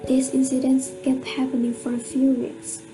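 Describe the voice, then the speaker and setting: A woman narrating an amateur radio drama in a measured, scripted reading manner. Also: high-pitched, completely flat delivery, close to an everyday voice, rough recording. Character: storytelling narrator of a family mystery. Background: music under the voice.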